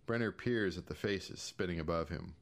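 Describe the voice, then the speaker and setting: A low-voiced American man narrates a short story. He reads from a script in an audiobook style, as a storyteller delivering fiction. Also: moderate pace.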